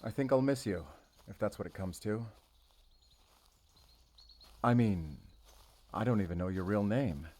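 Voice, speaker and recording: A man playing a brooding, guarded werewolf hunter in an audio roleplay, speaking in a solemn, halting, intimate way to the listener. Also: low voice. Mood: hesitant, regretful. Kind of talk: cinematic audio roleplay acting.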